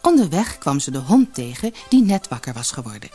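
A woman reading a fairy tale as an audiobook storyteller, expressive and measured. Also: deep voice, breathy edge, soft background music. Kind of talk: scripted fairy-tale narration.

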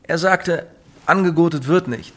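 An actor playing a calm, patient professional man, reassuring and methodical, in a German radio drama. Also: a little high, measured pace. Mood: probing. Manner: composed, reassuring, methodical questioning. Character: calm, patient male professional advising a troubled client.

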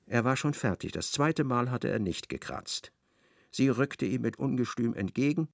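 Male literary narrator for radio drama: creaky mid-pitched voice, measured and precise. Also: animated, dry recording, studio quality.

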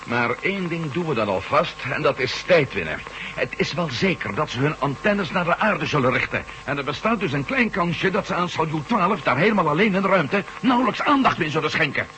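An actor playing an intense, decisive former astronaut in a radio drama, with a serious delivery. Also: male voice, on the high side, expressive, brisk, sharp tone. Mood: grave. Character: ex-astronaut reporter, male adventure hero, intense and decisive. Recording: faintly noisy humming radio recording.

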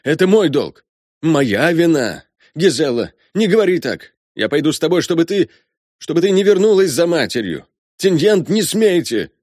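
An old colonel and devoted father, played by a man reading a novel. He pleads with formal, anguished fervour, pitched high with lively, proud swings. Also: normal speed, dry recording, clean studio sound. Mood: anguished, remorseful.

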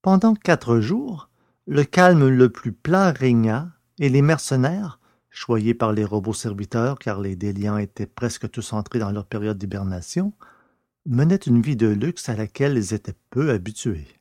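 A man's voice: medium pitch, animated, measured pace, a little mellow, no echo, clean studio sound. A man narrating a scripted science fiction adventure story in a literary, storytelling style.

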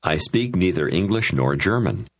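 Deep husky man narrating a lesson. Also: sing-song, measured pace. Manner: explains phrases and gives prompts. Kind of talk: scripted instructional narration.

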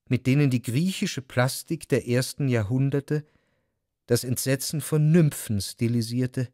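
An actor playing a reflective, well-to-do narrator in a radio play, telling of society life in measured, deliberate phrases. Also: male voice, medium pitch, warm tone, dry recording, natural voice. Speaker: first-person narrator of a literary radio drama. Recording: dry studio with clear music. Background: music.

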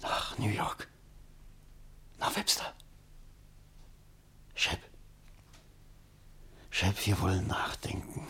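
A sick despairing writer, radio drama, slow n halting, really husky creaky voice. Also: male voice, lowish voice, heavily acted. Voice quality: husky, gravelly. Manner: halting, fragmented, slow delivery. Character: weary, despairing alcoholic writer, gravely ill and delirious. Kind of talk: German radio play acting.

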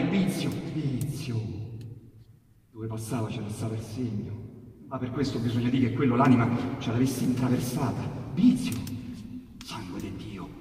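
A man with a Roman accent reciting dialect poetry as theatrical storytelling, emphatic and colloquial, with dramatic pauses. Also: fairly high pitch. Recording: very echoey hall from far off, faint noise.

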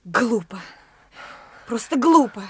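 An actress playing a sharp, scornful young woman fending off a pushy man in a studio radio play. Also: on the high side, lively intonation, slow, husky, no room sound, heavily acted. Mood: indignant.

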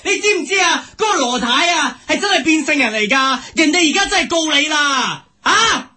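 An actor with a Hong Kong Cantonese accent playing a hot-tempered boss who rants and shouts in a comic radio drama. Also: male voice, expressive, moderate pace, full character voice. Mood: furious, incredulous. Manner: shrill, shouting, repetitive.

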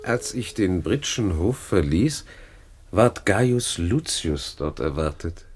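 An actor playing a smug, suave gentleman schemer in a classic radio drama, taunting and theatrical, with a husky voice. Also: low voice, animated, slow. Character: smug scheming Italian gentleman boasting of seduction.

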